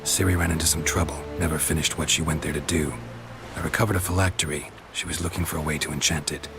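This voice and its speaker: An actor playing a gruff, weary monster hunter in a video game, terse, deadpan and gravelly, with clipped delivery. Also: male voice, a bass voice, quick pace. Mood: grave, matter-of-fact. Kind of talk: video game cutscene acting. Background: music.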